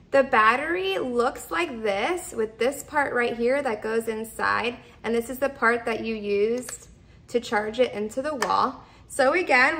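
A woman's voice: fairly high pitch, a bit bright. A woman in her thirties giving a casual step-by-step product demonstration at home, explaining simply and repeating instructions as she goes. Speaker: product reviewer. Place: indoors, open kitchen and dining room at home.

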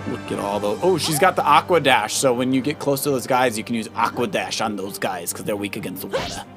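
Man casually coaching over loud game music. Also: mid-range voice, a little fast. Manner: advising, explanatory. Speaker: father co-hosting a children's let's play. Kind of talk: casual gameplay commentary and coaching. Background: video game music.

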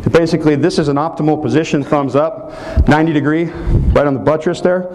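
A man's voice: a little high, steady tone, fairly quick, darkish tone, poor audio. Man, thirties, clinician, hands-on demonstration, explanatory, room mic, faint background hiss. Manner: explanatory, walks through moves while demonstrating. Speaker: football technique clinician. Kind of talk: live demonstration talk. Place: large hotel meeting room with audience.

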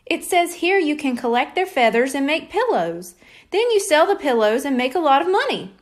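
A woman voicing an eager, scheming six-year-old boy with a pleading, excitable manner in a children's storybook. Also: high-pitched, normal speed.

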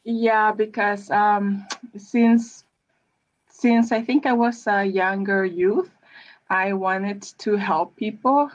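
A woman's voice: medium pitch, fairly even intonation, takes it slowly. A woman, a guest chatting over a video call, hesitant with many restarts. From El Salvador. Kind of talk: casual interview chat. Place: room with brick wall. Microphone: webcam on a video call. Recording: dull lo-fi sound.

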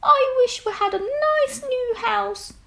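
A woman's voice: slow, gravelly, a bit bright, roomy sound, full character voice. A tiny anxious mother mouse. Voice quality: squeaky.

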